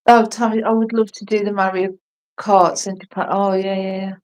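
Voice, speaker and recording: A woman's voice: medium pitch, normal speed, recorded from a distance. Chatty woman in her forties, slightly off-mic. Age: 40s. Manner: chatty, rambling, excitable. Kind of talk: casual chat.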